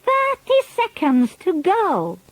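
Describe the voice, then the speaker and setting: A woman's voice: very high-pitched, wildly swooping pitch, slow pace, no room sound, pitched above the performer's own voice, heavily acted. A woman voicing the imperious, cruel leader of the witches in a storybook reading, with a grand and cold commanding manner, on a dry, close recording.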